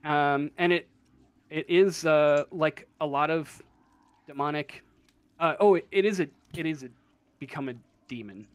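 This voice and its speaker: A man's voice: mid-range voice, slight background noise. A man acting as game master, casually explaining a tabletop roleplaying game in a hesitant way, as if thinking aloud.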